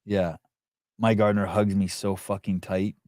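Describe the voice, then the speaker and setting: A man hosting a podcast, chatting in a casual, profane, animated way and telling stories with put-on voices. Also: on the low side, moderate pace, dry recording, studio quality. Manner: profane, animated storyteller who does voices. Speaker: podcast host. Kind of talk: casual banter. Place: California home.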